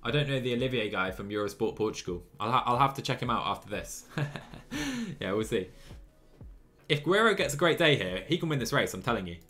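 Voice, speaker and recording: Bright-voiced young man streaming a game. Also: mid-range voice. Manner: excitable, chatty, laughs often. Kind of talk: live gameplay commentary and chat reading. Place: small room indoors. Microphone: headset.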